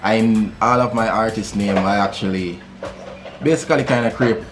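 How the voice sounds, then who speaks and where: Jamaican man, very low voice, relaxed storyteller, loud music behind. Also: normal speed. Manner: laid-back, many fillers, laughs easily. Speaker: musician guest. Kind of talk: casual interview storytelling.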